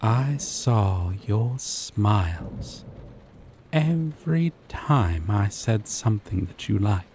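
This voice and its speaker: A man playing a gentle but obsessive, possessive dating-sim character in an intimate roleplay monologue, with soft breathy delivery and lingering pauses. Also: lowish voice, expressive, slight background noise. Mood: tender, smitten.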